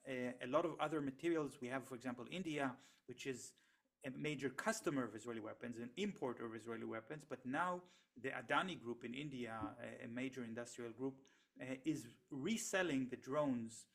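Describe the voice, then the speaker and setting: Middle-aged guy, hebrew is his first language, explaining stuff in an interview, lots of uh's and restarts, average pitch. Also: gravelly. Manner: measured, with frequent "uh" pauses and restarts. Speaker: economist and researcher, interview guest.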